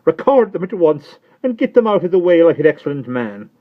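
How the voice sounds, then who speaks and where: A frantic middle-aged spinster, put on by a male reader, pitched far above his own voice and loud with distress. She flutters on the edge of tears, shrill and breathless with worry. The recording is muffled and lo-fi. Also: very animated, a little fast. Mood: distraught.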